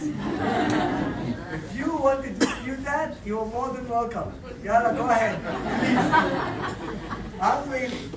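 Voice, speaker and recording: A man in his thirties from Israel, a native Arabic speaker, giving a lively, joking lecture to a live audience. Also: high voice. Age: 30s. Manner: animated, joking, addresses crowd directly. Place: large hall with audience. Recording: echoey hall, distant mic, audience noise.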